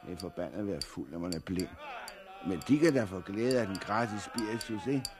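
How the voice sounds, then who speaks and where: A man acting in a 1960s radio drama as a proud, crusty blind war veteran, gruff and sardonic. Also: lowish voice, lively intonation, crowd noise, natural voice. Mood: dry, self-assured.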